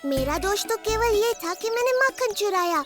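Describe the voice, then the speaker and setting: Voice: very high voice, expressive, normal speed, loud music behind the voice. A performer playing a sweet, mischievous little boy in a children's cartoon, innocent and playful. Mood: coaxing, cheeky.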